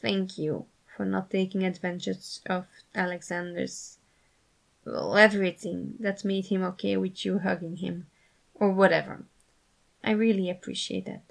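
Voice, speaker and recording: A woman voicing an angsty, blunt but protective young man in a fan fiction reading, halting and matter-of-fact. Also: on the low side, steady tone, vocal fry, low-quality recording. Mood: awkward, grateful.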